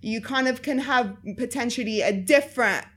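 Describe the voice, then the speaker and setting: A woman's voice: a little high, normal speed, a bit bright. A woman from Egypt with a slight Egyptian-influenced accent in English, chatting casually as an interview guest, full of fillers and easy laughs. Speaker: guest, writer.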